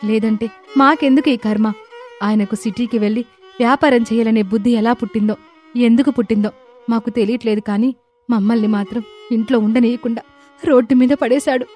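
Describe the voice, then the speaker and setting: A woman's voice: fairly high pitch, fairly even intonation, a little fast, a little mellow, soft background music, lightly acted. A woman playing a distressed young village wife, speaking in a plaintive, reproachful manner.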